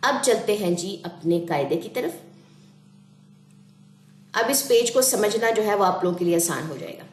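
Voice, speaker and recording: A woman's voice: medium pitch, expressive, roomy sound. Patient woman Quran reading teacher. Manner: slow drawn-out letter sounding, patient explaining. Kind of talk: online class lesson.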